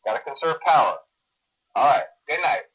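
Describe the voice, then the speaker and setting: A man's voice: a little high, expressive, moderate pace, a bit bright, slightly rough, poor audio. An actor playing a nervous, chipper male company employee who leaves rambling, stammering, awkwardly reassuring training messages through a telephone filter. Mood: casually reassuring. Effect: telephone voicemail filter. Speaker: phone guide to a night watchman. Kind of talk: recorded phone training message.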